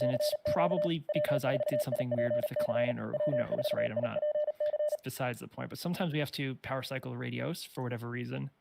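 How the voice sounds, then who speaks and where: A man's voice: mid-range voice, vocal fry, very noisy. A man explaining technical problems casually and a bit tentatively, as someone who builds stations.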